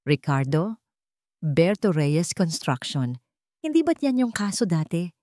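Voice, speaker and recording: A woman's voice: lowish voice, big pitch swings, a little slow, dark tone. An elegant schemer from a spoken melodrama measures out false sweetness and pretended concern, as a woman narrator acts her. Every phrase is calculated. The sound is close and dry, free of room echo. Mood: feigned shock. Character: scheming, elegant woman feigning shock and sympathy.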